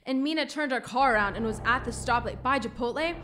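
Woman acting as gossipy excitable teen girl, really high voice, slangy, noisy background, radio drama. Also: fast. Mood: excited, alarmed. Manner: breathless gossip, emphatic, slangy. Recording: clear background noise.

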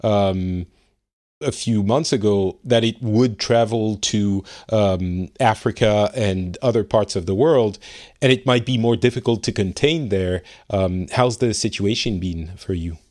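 A man's voice: lowish voice, unhurried, darkish tone. A man hosting a podcast from Finland, friendly and chatty, with frequent fillers and light laughs. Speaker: podcast host. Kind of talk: casual interview chat. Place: home studio in Finland.

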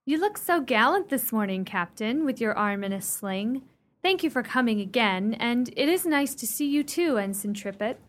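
A woman acting a wealthy, genteel and excitable widow in a radio play, with theatrical period speech. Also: on the high side, normal speed, natural voice. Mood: gracious, chatty. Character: wealthy, excitable widowed society lady, gracious then frantic.

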